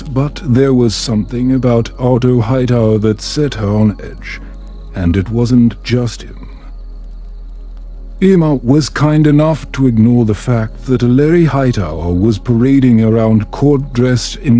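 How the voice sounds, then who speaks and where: A man reading a bedtime story as calm, scripted ASMR narration in a measured, soothing and gentle manner. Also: medium pitch, faint music behind.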